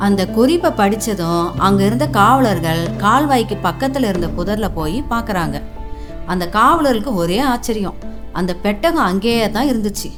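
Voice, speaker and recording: A woman's voice: mid-range voice, expressive, moderate pace. Woman narrating a story over music.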